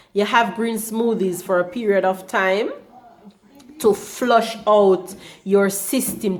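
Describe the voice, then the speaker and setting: Hoarse woman vlogging slowly from home. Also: mid-range voice. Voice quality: slightly rusty voice. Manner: chatty, unhurried, frequent ums. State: hoarse from a cold. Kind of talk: casual diet vlog.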